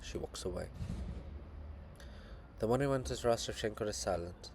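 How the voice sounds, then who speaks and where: A man's voice: fairly low pitch, moderate pace, darkish tone. Man reading a play, faint noise. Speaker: reader of a play. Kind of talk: dramatic reading with stage directions.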